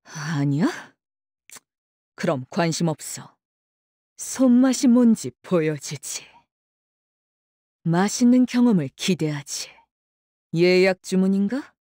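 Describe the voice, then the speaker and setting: Casting: a menacing game chef swordswoman, low and unhurried, clipped deadpan delivery, cold temper. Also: no room sound, studio quality. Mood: sinister, deadpan. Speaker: voice actor for a game character. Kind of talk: Korean video game character voice lines.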